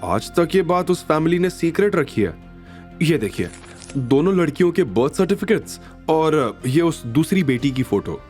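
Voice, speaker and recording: A narrator plays a respectful male assistant in an audio drama. He reports in a formal, explanatory manner with animated pitch over faint music. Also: normal speed. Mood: earnest. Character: respectful male aide reporting investigation findings.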